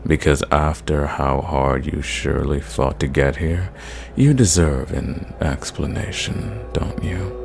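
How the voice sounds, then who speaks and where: Man acting as a very deep-voiced, gravelly ancient vampire. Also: darkish tone, slight background noise, full character voice. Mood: hungry, dominant. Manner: theatrical, condescending, measured, drawing out words. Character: ancient, sadistic, condescending male vampire, imprisoned in a castle. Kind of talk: ASMR roleplay acting.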